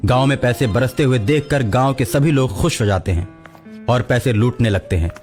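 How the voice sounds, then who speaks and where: A man's voice: mid-range voice, normal speed, darkish tone, faint music behind. A man narrating a scripted cartoon story as the storyteller.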